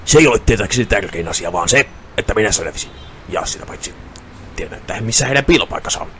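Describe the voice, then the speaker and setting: A man voicing a terse, self-important masked superhero with a deadpan manner in a comic cartoon parody dub. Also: lowish voice, a little hiss or hum. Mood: deadpan boasting. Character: boastful, deadpan masked male superhero of the night.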